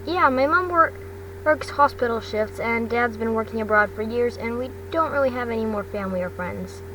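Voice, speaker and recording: A woman's voice: a little high, normal speed, close to an everyday voice. A woman voicing a polite, uneasy teenage schoolboy in a story, earnest and hesitant. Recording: distant mic, noisy background hum.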